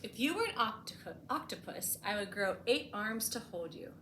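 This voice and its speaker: A woman reading a picture book aloud for children as a storytime host, expressively and with deliberate emphasis, adding short explanatory asides. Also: fairly high pitch, very animated, sharp tone. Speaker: librarian hosting a bedtime storytime. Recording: echoey room with clear background noise.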